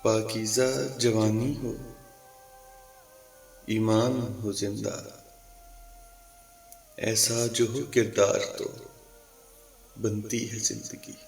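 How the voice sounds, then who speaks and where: Slow, declamatory man reciting poetry over music. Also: lowish voice, flat delivery, a little mellow, distant mic. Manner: declamatory, deliberate, repeats each line with pauses. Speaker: poet reciting own ghazals.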